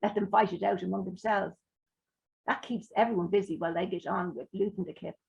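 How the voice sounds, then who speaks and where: A woman's voice: medium pitch, fairly even intonation, a little fast, darkish tone, dull audio, full character voice. A woman reading as a blunt, sweary working-class man. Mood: gruff, blunt. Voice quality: rough, sweary talk.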